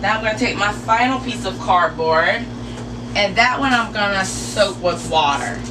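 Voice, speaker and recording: A woman hosting an informal step-by-step how-to demonstration in a deliberate, instructional way, picked up by a camera a few metres away. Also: mid-range voice. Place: enclosed porch or sunroom. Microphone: camera mic a few metres away. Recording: distant mic, clearly noisy with background hum.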